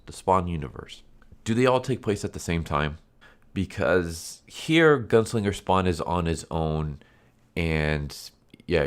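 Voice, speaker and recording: A man reviewing a comic book in a casual, rambling way, his voice congested and stuffy from a recent illness. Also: rumbling low. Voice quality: nasal, stuffy. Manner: rambling, full of fillers and restarts.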